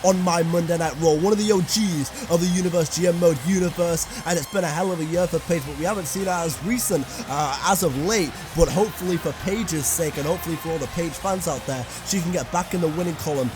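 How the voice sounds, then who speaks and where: A young man giving excitable play-by-play commentary over a wrestling video game, his voice slightly raspy and breaking into shouts. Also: fairly high pitch, fast talker, hardly any pauses, over music. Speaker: gaming commentator.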